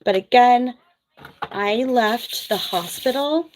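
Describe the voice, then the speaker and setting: A woman's voice: on the high side, a little hiss or hum, poor audio. Chatty Philadelphia-accented woman. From US East Coast, New Jersey. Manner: chatty, frank, quick asides with laughs. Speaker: vlogger, former nurse. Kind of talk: casual livestream chat reading viewer comments.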